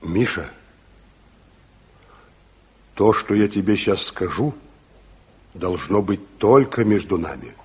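Casting: fatherly Soviet security officer, low and rough-edged, probing and earnest, vintage radio drama. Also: animated, slow, pauses often, exaggerated voice. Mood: secretive, grave. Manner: probing, repeats words back. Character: weary Soviet secret policeman, earnest, watchful, fatherly. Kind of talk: 1960s Soviet radio play acting. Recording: muffled-edged 1960s radio drama recording.

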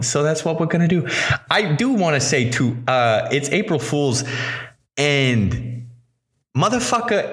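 A man's voice: mid-range voice, fairly bright tone. Man rambling in a put-on Jersey accent. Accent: put-on New Jersey accent. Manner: rambling, swears casually, lots of fillers. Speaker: podcast host. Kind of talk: casual solo podcast rant.

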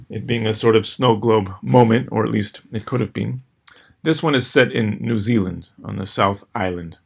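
A middle-aged man reading scripted personal stories as host, with a dry, wry, measured delivery and deliberate pauses. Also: on the low side, vocal fry. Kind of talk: scripted first-person storytelling.

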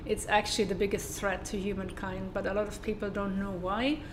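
Flat-toned woman with a German accent. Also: medium pitch, fairly quick, noisy background. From southern Germany. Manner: hesitant, many fillers and restarts. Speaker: guest, sustainability consultant. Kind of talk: casual interview.

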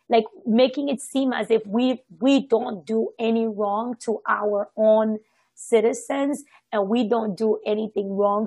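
A woman from Haiti giving a casual, reflective personal account, halting and often circling back to correct herself. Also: on the high side, husky, dry recording. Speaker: participant sharing personal experience in a group discussion.

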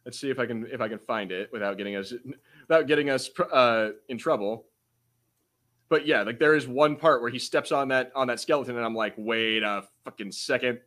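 A man co-hosting a podcast in an informal, halting way, chatting and reading out comments with the odd swear word. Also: mid-range voice, a bit bright. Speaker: podcast co-host. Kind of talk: casual chat, reads out viewer comments.

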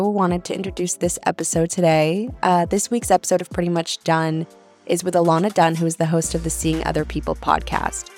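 Low-voiced, nasal host with a cold. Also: female voice. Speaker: podcast host. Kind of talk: scripted episode intro. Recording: faint music underneath.

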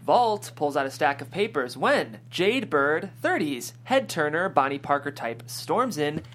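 A man's voice: fairly high pitch, very animated, measured pace, fairly bright tone. A man reading stage directions as scripted narration at a comedy table read. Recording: clear background noise and hum.

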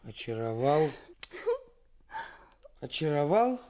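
Актёр в радиоспектакле 1980-х играет молодого жениха, который строит из себя наглого авантюриста, с театральной манерой и паузами. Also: male voice, a little high, very animated, darkish tone. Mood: bewildered. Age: young adult. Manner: deliberate, theatrical, halting pauses. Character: young actor suitor playing a brazen swindler. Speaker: radio drama actor. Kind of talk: 1980s Soviet radio play acting.

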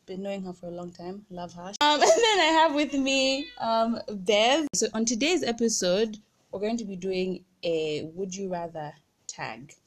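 A young woman hosting a casual chat with her friends, chatty and quick to laugh. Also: mid-range voice, expressive. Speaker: host.

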